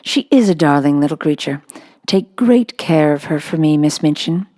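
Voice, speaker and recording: A woman's voice: low-pitched, animated, moderate pace, mellow. A woman voicing a cheerful young soldier father in a storybook, speaking with indulgent affection. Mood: fond, amused.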